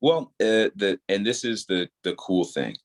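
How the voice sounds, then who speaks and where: Male, thirties, low-pitched. Earnest, encouraging creator chatting in a casual interview. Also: expressive, unhurried. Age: 30s. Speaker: guest, audio drama creator.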